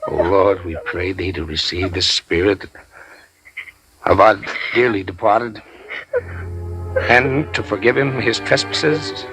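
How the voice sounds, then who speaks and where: A man's voice: lowish voice, slow, pauses often, poor audio. An actor playing a solemn clergyman praying over the dead in a 1940s radio drama. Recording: old radio recording, loud music underneath. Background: music.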